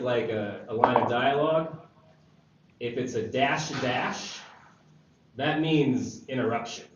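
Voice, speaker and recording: Man briefing a group, very echoey room. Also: medium pitch. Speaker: screenwriter and table read organiser. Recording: dull, echoey, noisy room recording from far off.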